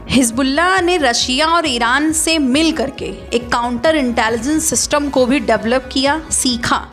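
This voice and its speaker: A woman's voice: sits high, fairly bright tone. A woman presenting an explainer narration. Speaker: video presenter. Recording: music bed behind voice.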